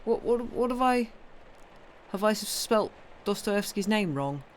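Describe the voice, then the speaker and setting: A woman's voice: medium pitch, expressive, a little slow. British female streamer, informal and playful, meandering self-interrupting chat, slight background noise. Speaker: livestream host and reader. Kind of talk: casual livestream chat.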